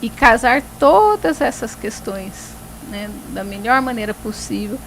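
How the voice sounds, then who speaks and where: A woman's voice: fairly high pitch, lively intonation, gravelly, slight background noise, low-quality recording. A woman hosting a faith talk show, speaking reflectively and tagging phrases with "né". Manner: reflective, filling pauses with "né". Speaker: host.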